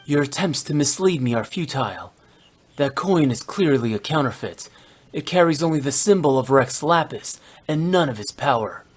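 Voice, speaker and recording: A man voicing a stern, brooding, youthful immortal warrior in a video game, speaking in a grave, formal and terse manner with a husky voice. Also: a little high, animated, moderate pace. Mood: dismissive.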